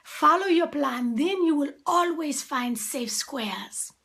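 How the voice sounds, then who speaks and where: A woman's voice: high-pitched, expressive, exaggerated voice. A woman doing a stern chess coach, Ugandan accent. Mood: firm. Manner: slow, loud, emphatic. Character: stern male chess coach giving counsel.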